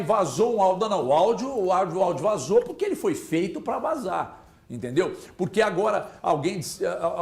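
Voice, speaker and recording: Animated sports-show host, man. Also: high-pitched, echoey room. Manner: animated, emphatic, talks over others. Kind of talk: casual sports debate.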